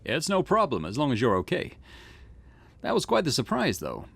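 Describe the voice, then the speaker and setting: A friendly young police officer, easygoing and upbeat, voiced by a man. His pitch swings widely, with some gravelly fry at the ends of phrases. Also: huge pitch swings, a little fast, a bit bright, no room sound, exaggerated voice.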